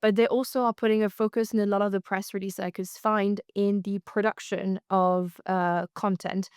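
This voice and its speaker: A woman's voice: medium pitch, flat delivery, moderate pace, a little mellow, clean tone, dry recording, studio quality. A woman co-hosting a podcast, discussing industry news conversationally and often rephrasing as she explains.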